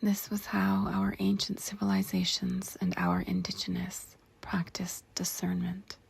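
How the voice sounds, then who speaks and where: A woman narrating a guided meditation in a hushed, whispery, creaky voice, with deliberate short phrases and spacious pauses. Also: mid-range voice, very animated.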